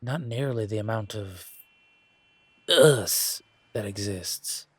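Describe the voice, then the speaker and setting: Man acting as a male werewolf, husky, lowish pitch, casual, wry, teasing, folksy, dry close sound, intimate audio drama. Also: takes it slowly, dry recording. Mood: relaxed. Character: laid-back wry male werewolf, new in the city. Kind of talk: intimate roleplay audio drama, one-sided conversation.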